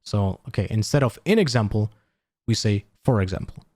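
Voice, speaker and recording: Lively man analyzing gameplay. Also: lowish voice, a little fast, a little hiss or hum. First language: Polish. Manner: animated, swears freely, thinks aloud. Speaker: esports analyst streamer. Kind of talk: casual VOD breakdown.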